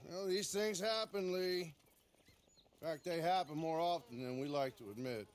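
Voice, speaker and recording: An actor playing a wry, easygoing American Old West marshal in a video game cutscene, with a folksy, sardonic way of talking. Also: male voice, on the high side, moderate pace, a little hiss or hum, natural voice. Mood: folksy, reasoning. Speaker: lawman.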